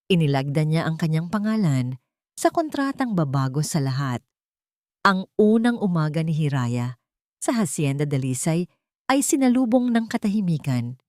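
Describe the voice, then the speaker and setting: A woman reading a dramatic scripted love story as narrator, in a measured, solemn storytelling delivery. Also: lowish voice, darkish tone, dry recording, clean studio sound.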